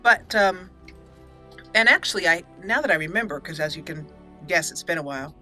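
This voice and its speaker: A woman's voice: fairly low pitch, animated, fairly quick, bright, forceful tone. A woman giving casual let's play commentary, chatting and thinking aloud as she plays. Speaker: gaming channel host and player. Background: game music.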